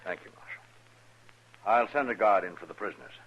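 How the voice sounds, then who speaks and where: A man acting a courteous, proper army major in a radio western, husky and politely hesitant. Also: fairly low pitch, fairly quick. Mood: embarrassed. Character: formal, courteous army major, awkwardly humbled. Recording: dull old radio recording.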